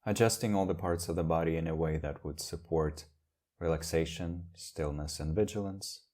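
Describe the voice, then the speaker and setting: A man leading a guided meditation as a teacher, speaking in gentle, measured phrases with long pauses. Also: rumbling low, fairly even intonation.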